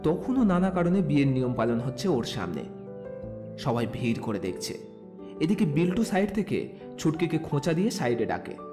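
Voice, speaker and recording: A man's voice: a little high, very animated, normal speed, over music. A man narrating a scripted romantic story as a storyteller.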